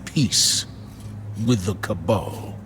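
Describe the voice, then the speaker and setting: An actor playing a grave, principled military leader, speaking with firm, serious and measured authority in dramatic game dialogue. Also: male voice, lowish voice, noisy background. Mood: wary, sombre. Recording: music behind the voice.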